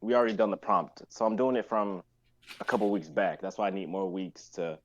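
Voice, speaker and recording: A man's voice: sits low, fairly even intonation, brisk, slight background noise. A man in his twenties chatting casually on a group livestream, explaining things in a rambling, circling way. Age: 20s. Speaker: writer and performer on a group livestream. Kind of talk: casual chat.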